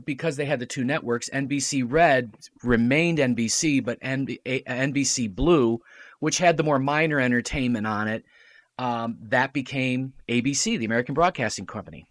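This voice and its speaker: A man guesting on a podcast, explaining radio history in a knowledgeable, relaxed chat. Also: medium pitch, normal speed, no room sound. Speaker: guest radio drama producer. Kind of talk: casual chat.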